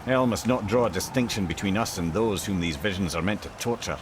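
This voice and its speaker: An actor voicing a wry, worldly-wise storyteller companion in a video game, with a Scottish accent and an eloquent, sardonic manner. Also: male voice, on the low side, fairly quick, background noise, lightly acted. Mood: wry, grave. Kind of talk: dramatic video game acting.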